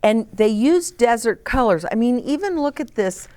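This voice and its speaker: A woman hosting storytime for children, reading aloud and pausing to explain gently and ask the listeners questions. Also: fairly high pitch, animated. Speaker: children's storyteller, host of a read-aloud channel. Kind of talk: read-aloud storytime with asides to young children.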